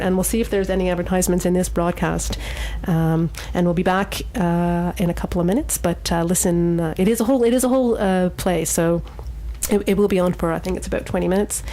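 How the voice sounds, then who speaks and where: Halting, casual woman radio host. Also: fairly low pitch, fairly even intonation, darkish tone. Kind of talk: casual radio chat introducing programme.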